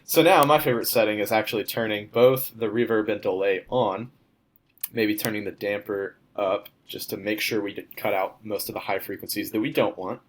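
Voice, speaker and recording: A young man, a student, walking through a demo of his software project in an informal, step-by-step way. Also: fairly low pitch, fairly even intonation, moderate pace. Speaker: student presenting a class project.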